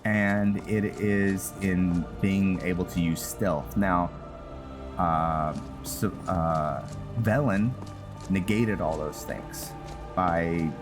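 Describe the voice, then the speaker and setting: Guy w a low voice talking thru his game, slow n halting, music playing behind him. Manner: halting, thinking aloud. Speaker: gaming video host, player. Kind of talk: unscripted game commentary, roleplay musing.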